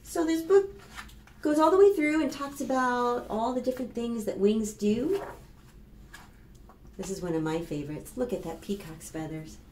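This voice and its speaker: A woman in her fifties with an American accent, reading aloud to preschoolers as a children's librarian in an expressive, instructive manner. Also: on the high side, moderate pace. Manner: expressive, instructive, addressing young children with questions. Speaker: children's librarian and storytime host. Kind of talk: read-aloud and rhymes for preschoolers. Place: small plain indoor room. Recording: roomy echo with clear background noise.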